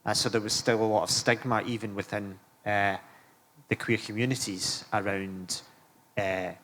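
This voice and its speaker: A man's voice: fairly low pitch, fairly even intonation, normal speed, a bit bright. A middle-aged man recalling his experiences in a conversational public talk. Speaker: panel speaker, film lecturer. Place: live event room at a museum. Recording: live room with faint background noise.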